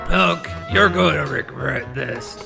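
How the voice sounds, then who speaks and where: A man playing a grumpy, blustering armed robber in an audio drama, gravelly and irritable. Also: mid-range voice, takes it slowly, music in the background. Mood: angry threats. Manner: muttering, irritable.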